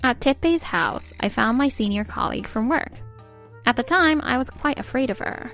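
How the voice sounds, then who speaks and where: A woman's voice: high-pitched, animated, moderate pace, a bit bright, soft background music. A woman voicing a weary, timid young mother and nurse narrating her home life in a scripted manga dub. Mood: reflective narrating.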